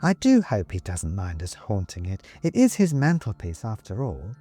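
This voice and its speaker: A man voicing a fussy little ghost mother in a storybook, prim, gently pointed and nagging. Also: fairly low pitch, huge pitch swings, moderate pace. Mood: prim, gentle.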